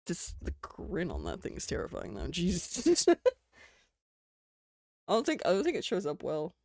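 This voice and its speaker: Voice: mid-range voice, wildly swooping pitch, normal speed, gravelly, darkish tone, no room sound, studio quality. A streamer with a New Zealand accent, chatting casually on a live stream, rambling and swearing. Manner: rambling and swearing, with frequent laughs.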